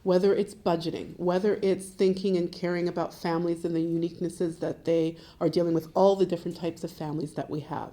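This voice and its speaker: A woman's voice: on the low side, flat delivery, a little fast, hardly any pauses, warm tone. A woman home economist giving an emphatic interview answer that runs through a list.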